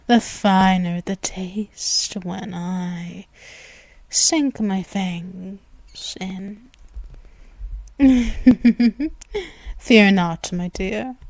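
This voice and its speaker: A seductive, menacing vampire queen, played by a woman, purring her words close to the mic with a slow, unhurried drawl. She drops into soft whispers often. The recording is dry and intimate, with no room sound. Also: medium pitch, creaky, no echo. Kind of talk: ASMR audio roleplay.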